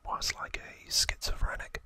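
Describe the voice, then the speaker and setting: An ASMR host whispering trivia in a breathy, unvoiced voice, explaining hesitantly with soft pauses.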